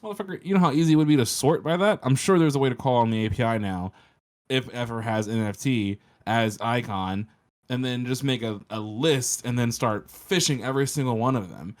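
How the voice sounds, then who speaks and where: A man's voice: mid-range voice, brisk. A man chatting casually and profanely as a podcast panelist. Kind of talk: casual profane group chat.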